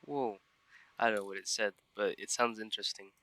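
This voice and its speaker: A woman's voice: extremely low pitch, steady tone, fairly quick, fairly bright tone, slight background noise. A woman giving casual, offhand, joking commentary while playing a video game. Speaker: streamer reacting to game.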